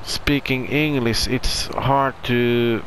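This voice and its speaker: A man's voice: mid-range voice, fairly even intonation, slow pace, a bit bright, a little hiss or hum, poor audio. A man with a Finnish accent, a non-native English speaker, doing casual commentary over farming gameplay and searching for his words. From Finland. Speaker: gaming channel host.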